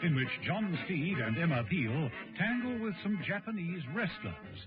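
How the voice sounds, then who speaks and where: A man narrating a spy adventure radio drama in a measured, dramatic storytelling style. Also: high voice, expressive. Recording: dull old radio recording, music.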